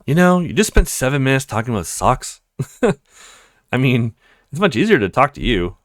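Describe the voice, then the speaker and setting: A man's voice: medium pitch, very animated, normal speed, dry recording, clean studio sound, natural voice. A man voicing an earnest, kind, overwhelmed young male student in a visual novel. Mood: amused, reassuring. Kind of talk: visual novel character reading.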